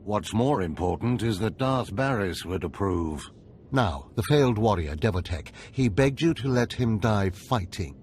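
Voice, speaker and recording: Game mentor-villain: stern Sith overseer, lowish imperious voice, light ambient noise. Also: male voice, animated, unhurried, a little mellow. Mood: stern, demanding. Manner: imperious, clipped, lecturing. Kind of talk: video game cutscene acting.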